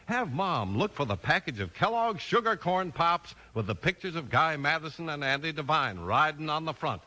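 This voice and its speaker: A man's voice: fairly high pitch, sing-song, normal speed, noisy background. A man doing polished, emphatic scripted announcing and narration for a 1950s radio show. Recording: old 1950s radio recording.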